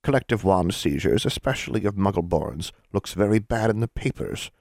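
A man voicing a sleepy, unflappable elderly witch who speaks mildly and quietly. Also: lowish voice, animated, normal speed, no echo, clean studio sound. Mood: placid. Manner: mild, quiet, drowsy.

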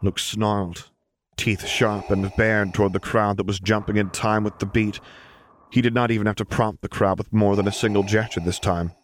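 A man's voice: lowish voice, fairly even intonation, moderate pace, a little hiss or hum. A man narrating a fiction audiobook from a script.

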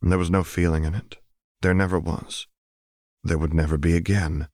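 A man's voice: sits low, steady tone, normal speed, darkish tone, dry recording, clean studio sound. A man reading scripted fiction as a dramatic audiobook narrator.

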